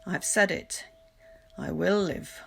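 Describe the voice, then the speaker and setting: A woman recites poetry at an unhurried, deliberate pace. Her mid-range voice has a strong creak, and faint music plays behind her. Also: fairly low pitch. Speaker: poetry reader.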